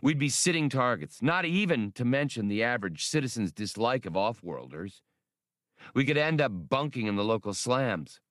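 A male narrator reads a brash, stubborn space pilot in an audiobook. He is blunt and argumentative, with animated pitch and a dry, close sound. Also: normal speed, no echo. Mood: insistent.

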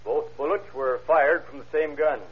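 A man's voice: on the high side, moderate pace, close to an everyday voice. Clipped expert witness played by a man, muffled radio. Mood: matter-of-fact. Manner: clipped, factual answers. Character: matter-of-fact police ballistics expert on witness stand. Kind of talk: 1930s radio acting. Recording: muffled old radio recording.

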